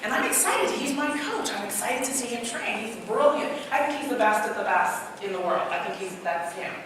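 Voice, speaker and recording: Trinidadian woman, high voice, motivational stage talk, energetic, echoey hall heard from a distance. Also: brisk, a little hiss or hum. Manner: energetic, asks the crowd questions, tells personal stories. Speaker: motivational speaker. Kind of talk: live stage talk to an audience. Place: large hall with an audience.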